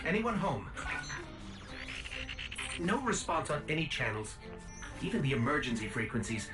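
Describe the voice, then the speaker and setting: A man's voice: a little high, sing-song, normal speed. A man voicing a small, anxious, chatty robot companion with an urgent manner in a video game cutscene. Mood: worried. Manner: urgent, worried. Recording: distant game audio with music behind.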